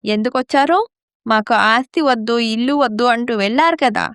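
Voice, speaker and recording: A woman playing a resentful, sharp-tongued young daughter-in-law in a family story. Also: fairly high pitch, normal speed, clear, resonant tone, no echo, studio quality, lightly acted. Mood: defiant, accusing. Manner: accusing, defiant.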